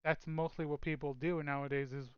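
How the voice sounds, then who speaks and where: A man's voice: fairly high pitch, fairly even intonation, fairly quick, clear, resonant tone, no room sound, dull audio. A man co-hosting a casual chat show, rambling with lots of fillers and restarts. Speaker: podcast co-host.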